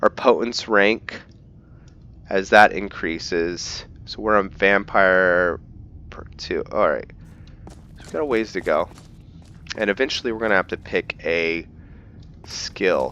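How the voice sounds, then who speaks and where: A man narrating a roleplay game playthrough in a casual, halting way, stumbling over words, on a dull mic. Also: low voice, fairly bright tone, a little hiss or hum. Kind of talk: casual gameplay commentary mixed with in-character journal reading.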